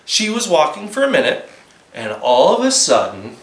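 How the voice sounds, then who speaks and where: High-voiced, animated man leading children's storytime. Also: moderate pace. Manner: encouraging, addresses young children. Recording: roomy, echoey sound.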